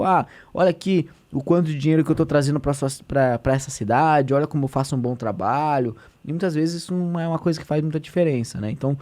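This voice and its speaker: A man's voice: mid-range voice, a little mellow. A man, a state deputy talking as a podcast guest, explaining in an earnest, conversational way with many fillers and restarts.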